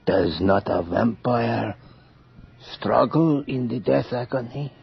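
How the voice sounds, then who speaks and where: An actor playing a pious, frightened, doubting villager with a hesitant, anxious manner in a radio horror drama. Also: male voice, mid-range voice, lively intonation, slow, vocal fry, full character voice. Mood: doubtful, cowed. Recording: muffled, faintly noisy radio recording.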